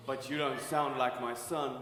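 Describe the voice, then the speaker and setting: A man's voice: mid-range voice, level tone, measured pace, music in the background, close to an everyday voice. A man playing the worried father of a cursed prince in a stage pantomime. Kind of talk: theatrical stage acting. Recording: echoey hall, distant sound.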